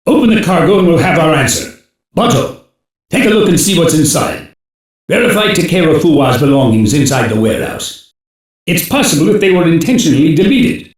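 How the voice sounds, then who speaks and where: A man's voice: on the high side, sing-song, brisk. An actor playing a stern, shrewd older male intelligence chief who gives authoritative, urgent orders in dubbed anime game dialogue. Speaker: chief of a special police unit. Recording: very echoey, distant from the mic.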